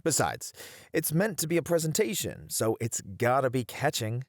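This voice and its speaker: A man's voice: medium pitch, very animated, normal speed, no room sound, clean studio sound. A man playing a playful, theatrical colleague at a college who loves teasing, with a dramatic, flowery manner in an ASMR roleplay. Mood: playful, eager.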